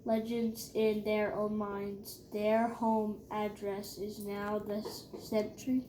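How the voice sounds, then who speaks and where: A female reader going haltingly through scripture aloud, stumbling over words. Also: medium pitch, little pitch movement, warm tone. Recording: echoey room with background noise.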